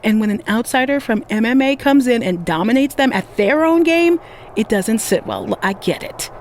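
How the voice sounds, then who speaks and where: Assertive actress as a wrestling panel analyst. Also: female voice, fairly high pitch, animated, moderate pace, slight background noise, lightly acted. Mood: argumentative. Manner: assertive, pointed. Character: opinionated, argumentative wrestling studio analyst. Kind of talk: scripted sports panel debate.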